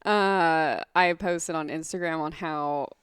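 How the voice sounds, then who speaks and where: A woman's voice: deep voice, fairly even intonation, slow pace, clean tone, dry recording, clean studio sound. A woman chatting casually as a guest reviewer, earnest and enthusiastic. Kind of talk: casual chat.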